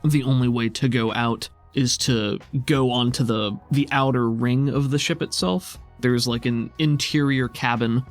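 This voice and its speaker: A man's voice: mid-range voice, fairly even intonation, unhurried. A man running a tabletop game, narrating scenes and talking through rules as game master. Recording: music under the voice. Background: background music.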